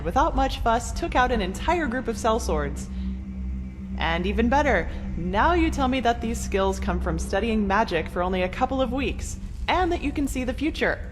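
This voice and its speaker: A woman's voice: fairly high pitch, lightly acted. An actress playing a blunt, short-fused woman adventurer in a video game, talking in a casual, sardonic, foul-mouthed way. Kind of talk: video game dialogue acting. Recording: reverberant hall echo, music underneath. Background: game music.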